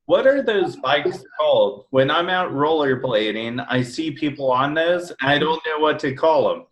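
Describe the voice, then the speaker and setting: A man's voice: fairly high pitch, animated, normal speed. An American man chatting casually as a podcast co-host. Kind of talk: casual chat.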